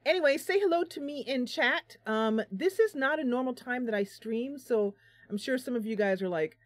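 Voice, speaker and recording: A woman's voice: high voice, normal speed, no room sound. A woman hosting a live stream, chatting casually with viewers in a bubbly, talkative way. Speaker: live stream host, gamer.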